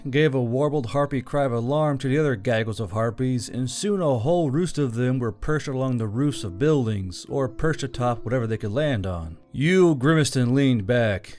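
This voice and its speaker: A man's voice: mid-range voice, a little mellow. A man narrating a story in a scripted audiobook style.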